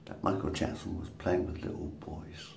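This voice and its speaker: A man's voice: low voice, level tone, moderate pace, creaky, a little mellow, recorded from a distance. A man in his fifties rambling to camera in a casual monologue, swearing and laughing at his own jokes, filmed on a phone at arm's length in a small room. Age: 50s. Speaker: vlogger. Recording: roomy echo, from the mic, faint background noise.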